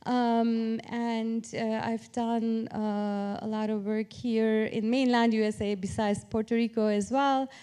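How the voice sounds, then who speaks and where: Woman professor from turkey talking on a panel, smooth soft-edged voice, explains things step by step. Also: a little high, level tone, slow pace, few pauses. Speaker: academic panelist, professor of public policy. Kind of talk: informal academic panel presentation.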